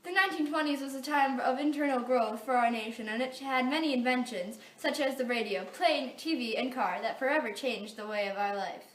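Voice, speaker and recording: Female teen, American, high voice, reading amateur news script in a roomy space, set back from the mic. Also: a little fast, few pauses. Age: teenager. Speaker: student playing news reporter and anchor. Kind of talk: amateur scripted mock 1920s newscast. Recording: roomy echo, distant mic.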